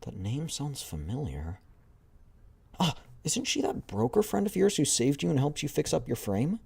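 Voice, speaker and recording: A man with a deep voice voicing an eager, excitable young female squad member who pleads and protests in a raised voice. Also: normal speed, creaky, slightly rough, full character voice. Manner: pleading, high-strung.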